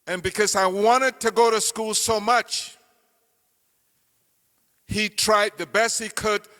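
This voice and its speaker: An older man from Cameroon giving an earnest campaign speech, telling his life story with slow, deliberate emphasis. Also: high voice, fairly even intonation, sharp tone. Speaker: political candidate speaking at a meeting. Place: meeting hall. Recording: reverberant hall.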